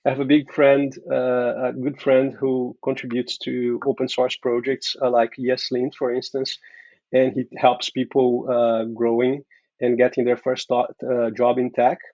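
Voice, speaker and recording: A man's voice: mid-range voice, monotone, unhurried, darkish tone, no echo. A man from Brazil with a Brazilian Portuguese accent, hosting an interview in a friendly, encouraging manner. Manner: friendly, encouraging, many back-channel murmurs. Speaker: host. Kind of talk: casual interview chat. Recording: dull dry lo-fi mic.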